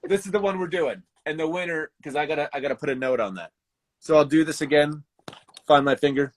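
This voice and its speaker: Guy doing a live raffle stream, kinda high voice, dry sound, halting, thinks out loud while he fiddles with stuff. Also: no echo. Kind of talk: casual livestream chat.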